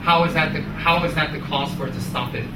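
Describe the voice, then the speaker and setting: Male dharma teacher, American accent, emphatic phrasing, echoey room with clear background noise. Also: on the high side, far from the mic. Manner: emphatic, deliberate, short repeated phrases. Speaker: Buddhist teacher. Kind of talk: spoken teaching with questions to students.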